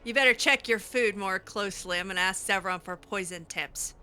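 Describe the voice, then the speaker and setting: Bright, sarcastic woman gamer. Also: medium pitch, a little fast, faint music behind. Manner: sarcastic, exasperated. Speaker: gamer playing in character. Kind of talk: roleplay game commentary, casual chat.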